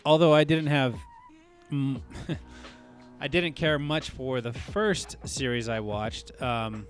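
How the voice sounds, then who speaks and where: A man co-hosting a podcast in casual chat, talking in a rambling way with restarts and excited swings. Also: mid-range voice, slow pace, over music. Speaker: podcast co-host.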